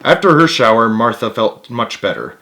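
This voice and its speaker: A man's voice: medium pitch, very animated, moderate pace, bright, forceful tone. A man reading a picture book aloud as the host, with chatty asides of his own. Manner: chatty, interjects own comments and chuckles. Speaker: story reader, host of a read-aloud series.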